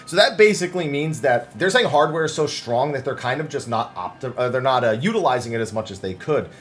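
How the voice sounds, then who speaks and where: A man's voice: mid-range voice, expressive, brisk, faint music behind. A man hosting a gaming news video, talking through written interviews in a chatty, conversational way with casual asides.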